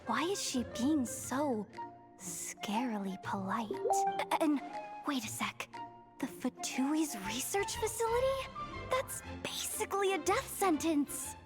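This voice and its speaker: A woman voicing an eager, easily rattled young female underling in a video game, with a nervous, stammering, excitable delivery. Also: sits high, slow, loud music behind the voice. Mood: confused, anxious. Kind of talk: animated video game voice acting.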